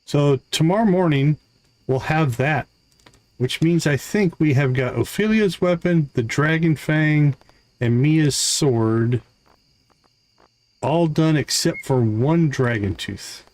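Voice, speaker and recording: A man's voice: fairly high pitch, lively intonation, slow, a little mellow. A man giving casual live gameplay commentary as a streamer, thinking aloud as he goes. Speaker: game streamer, host.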